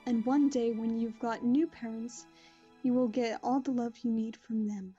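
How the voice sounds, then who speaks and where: A woman voicing a gentle, regal pony princess and teacher in an animated fan audio drama, composed and motherly. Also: fairly high pitch, moderate pace, lo-fi sound, faint music behind, natural voice. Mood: tender, reassuring.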